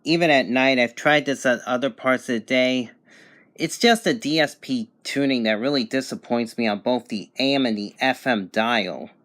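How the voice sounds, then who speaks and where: A man's voice: medium pitch, moderate pace, fairly bright tone. Casual man reviewing a gadget. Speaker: radio reviewer. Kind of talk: casual, unscripted product review.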